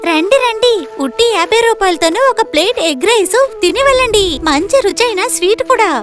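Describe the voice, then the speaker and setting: A woman voicing a determined, spirited young daughter in an animated moral story. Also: very high voice, a little fast, soft background music. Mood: eager selling. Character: determined, spirited young daughter who dreams of being a chef.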